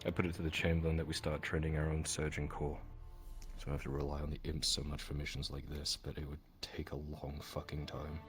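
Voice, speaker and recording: A man's voice: a bass voice, completely flat delivery, a little fast. A man playing a weary, guilt-ridden young soldier leader in a fantasy roleplay, talking bluntly. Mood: resigned. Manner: blunt, sweary. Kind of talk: live fantasy roleplay, improvised dialogue. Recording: music behind the voice.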